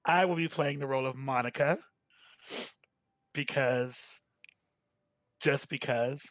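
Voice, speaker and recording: A man hosting a staged reading, talking casually and haltingly. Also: medium pitch, steady tone, fairly bright tone, dry recording. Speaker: host of a staged game reading. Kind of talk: casual commentary and introductions.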